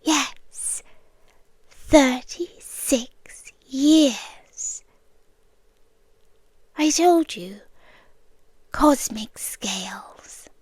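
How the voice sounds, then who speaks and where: Slow, whispery British immortal stalker girlfriend, acted by a woman. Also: high-pitched, no echo, heavily acted. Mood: yearning. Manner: soft, deliberate, often dropping into whispers. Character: immortal cosmic female yandere stalker, obsessively patient, tender, possessive. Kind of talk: intimate ASMR girlfriend roleplay. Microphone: close microphone.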